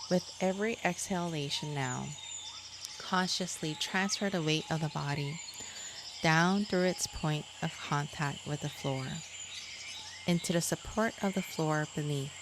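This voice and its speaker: A woman guiding a yoga nidra relaxation in a measured, soothing manner, as a scripted meditation. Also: low voice. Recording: clear insect and nature noise behind.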